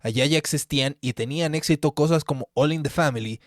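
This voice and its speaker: A man's voice: a little high, quick pace, dry recording. Mexican man in his twenties, dry close recording. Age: 20s. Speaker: video essay host. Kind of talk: scripted video essay narration.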